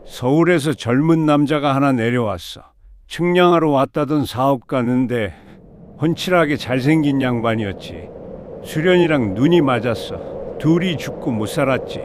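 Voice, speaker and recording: A man's voice: mid-range voice, normal speed, slight background noise, lightly acted. A man acting a folksy old village chief. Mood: wistful recounting. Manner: folksy, plain old-timer storytelling.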